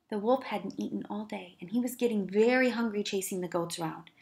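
A woman teacher reading a children's story aloud, with expressive, careful emphasis for young listeners. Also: mid-range voice, vocal fry. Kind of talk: children's read-aloud narration.

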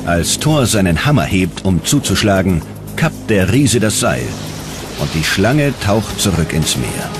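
A man with a very low voice narrates a scripted documentary. His delivery is dramatic and measured, with strongly varied, animated intonation. Music plays behind his voice.

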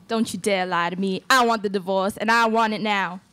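A woman's voice: mid-range voice, fairly even intonation, brisk, a bit bright, clean tone, close to an everyday voice. A young woman playing an aggrieved, accusing wife in a student radio play at a close microphone. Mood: furious. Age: young adult. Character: indignant, accusing wronged Western wife. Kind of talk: student radio theatre acting. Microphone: close stage microphone.

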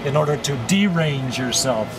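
A man's voice: medium pitch, expressive, moderate pace. An older man in casual conversation, talking at an outdoor table. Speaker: poet. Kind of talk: casual chat. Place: outdoor restaurant patio beside street. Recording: loud outdoor street and traffic noise. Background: cars and urban noise.

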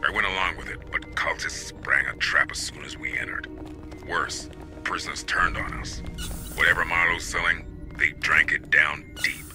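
An actor playing a hardened, cynical security captain in a video game, gruff, gravelly and sardonic. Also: male voice, a bass voice, fairly even intonation, moderate pace, music in the background. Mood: grim, bitter. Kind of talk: cinematic game acting.

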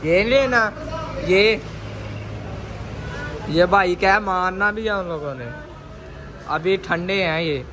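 A man's voice: sits high, slow pace, pauses often, over music. A young man vlogging on a phone camera, narrating an outing to a bakery and coaxing children along with short repeated prompts. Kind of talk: casual vlog narration. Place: outdoors on a street and inside a small bakery. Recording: clear street and shop noise behind.

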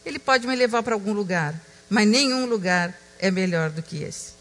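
A woman giving a reflective talk on stage, slow and emphatic, dropping to a hush on key words. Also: mid-range voice, big-room sound. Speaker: speaker.

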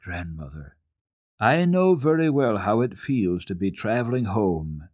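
A man's voice: lowish voice, big pitch swings, a little slow, darkish tone, dry recording. A man voicing an eager, anxious, tender-hearted little girl with an earnest, questioning manner in a storybook reading.

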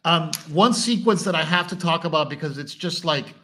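A man's voice: a little high, moderate pace, fairly bright tone. A man hosting a podcast over a video call, asking eager, enthusiastic questions. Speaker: podcast host. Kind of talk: casual video call interview.

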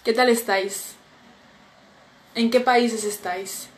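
A young woman from with a slightly high voice, chatting casually into a phone at arm's length. She reads comments aloud and reacts in a friendly, drowsy way. Faint noise sits under the small room sound. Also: fairly even intonation, takes it slowly, low-quality recording. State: sleepy. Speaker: Spanish teacher hosting a live stream. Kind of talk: casual live-stream chat reading viewer comments. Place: small indoor room at a table. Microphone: phone camera at arm's length.

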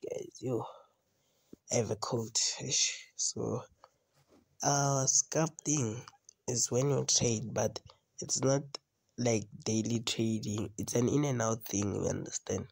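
A man's voice: medium pitch, takes it slowly, gravelly, darkish tone, husky, dry recording. A man with a cold hosting a casual trading tutorial over a screen recording, often repeating himself and asking "you understand?". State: has a cold. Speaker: trading video host.

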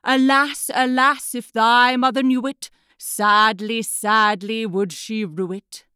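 A woman voicing the mournful severed head of a horse in a fairy tale, chanting a slow, solemn rhyme. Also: fairly high pitch, fairly even intonation, bright, forceful tone, clean tone, no echo, studio quality.

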